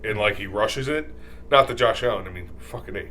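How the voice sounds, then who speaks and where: A man co-hosting a casual football talk show with a big, loud voice, swearing casually as he rambles excitedly about the game. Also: on the low side, fairly quick, slight background noise. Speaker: co-host. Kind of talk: casual sports chat.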